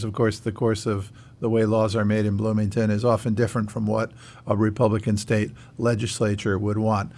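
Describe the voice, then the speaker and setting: A man's voice: medium pitch, a little mellow. A man hosting a radio interview in a conversational style, putting questions to a guest and commenting along the way. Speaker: radio show host.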